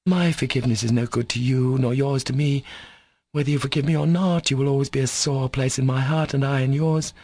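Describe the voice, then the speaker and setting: A man's voice: medium pitch, steady tone, a little fast, a little mellow, dry recording, studio quality, voice pushed up, close to an everyday voice. A man reading the lines of a passionate, wounded young Russian woman, who speaks in breathless, emotional pleas. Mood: impassioned.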